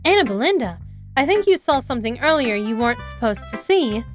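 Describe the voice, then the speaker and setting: A woman voicing a confident, composed teenage club president, reading game dialogue with a smooth, persuasive manner. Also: on the high side, big pitch swings, fairly quick, soft background music. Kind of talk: reading visual novel dialogue aloud.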